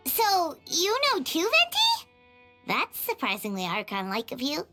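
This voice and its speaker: Tiny fairy sidekick, extremely high squeaky voice, wildly swooping delivery, blunt, video game, music behind. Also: female voice, wildly swooping pitch, a little slow, exaggerated voice. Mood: surprised, curious. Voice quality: squeaky, childlike. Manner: exclamatory, cheeky, blunt. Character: tiny childlike female fairy companion, blunt and excitable. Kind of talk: video game character acting. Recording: game dialogue over background music. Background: clear background music.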